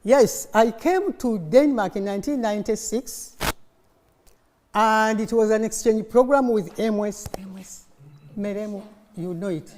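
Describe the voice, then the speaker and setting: Ugandan woman speaking slowly in echoey hall. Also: mid-range voice, a little hiss or hum. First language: Luganda. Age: middle-aged or older. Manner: animated storytelling, prompting listeners with questions. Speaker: conference speaker. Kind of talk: informal conference speech with audience call-and-response. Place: conference hall, live talk to an audience. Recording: echoey hall, long reverb.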